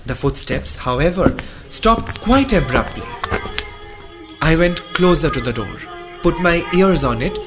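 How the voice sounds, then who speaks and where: A man's voice: fairly high pitch, animated, over music. An actor playing a shaken married male traveler who narrates a horror radio play in a theatrical, literary manner. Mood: tense suspense. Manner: theatrical, literary, deliberate phrasing.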